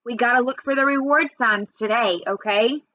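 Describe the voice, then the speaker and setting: Woman as a high-voiced, secretive young girl. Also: moderate pace, lo-fi sound, natural voice. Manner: hushed, secretive. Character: sneaky, scheming young girl, impatient with her brother.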